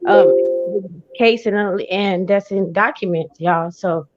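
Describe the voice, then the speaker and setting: A stuffy, congested woman from Las Vegas chatting excitedly on a phone during a live stream. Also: mid-range voice, slow, highs cut off, loud music behind the voice. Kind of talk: casual live-stream chat.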